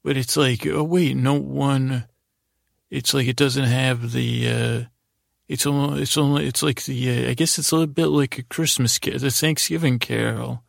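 A man hosting a podcast, delivering a rambling bedtime monologue in a gravelly voice, halting and often interrupting himself as he meanders along. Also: mid-range voice, fairly even intonation, dry recording, studio quality. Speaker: podcast host.

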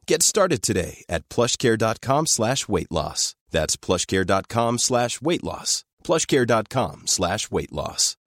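Low-voiced male ad announcer. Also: sing-song, a bit bright, no echo, studio quality. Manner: smooth, measured promotional delivery. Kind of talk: scripted ad read.

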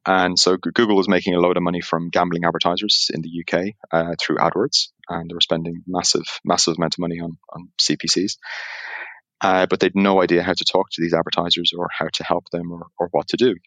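A man's voice: sits low, flat delivery, brisk, a bit bright, no room sound. An Irish man talking as a podcast guest in a casual, rambling way, often restarting his sentences. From Ireland. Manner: rambling, self-correcting, chatty. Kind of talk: casual interview chat.

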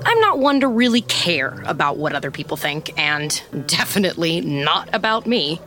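An actress playing a wry, rattled small-town private detective who narrates in a conversational, casually profane way in an audio drama. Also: female voice, fairly low pitch, very animated, normal speed, fairly bright tone. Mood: wry, bemused. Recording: music under the voice.

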